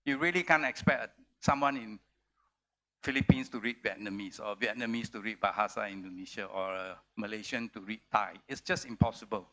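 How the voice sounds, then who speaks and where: Bright-voiced Singaporean publisher, earnest. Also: male voice, on the low side, fairly quick, rough recording. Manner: earnest, emphatic, repeats words. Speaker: publisher on a panel. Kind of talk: panel discussion. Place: festival stage.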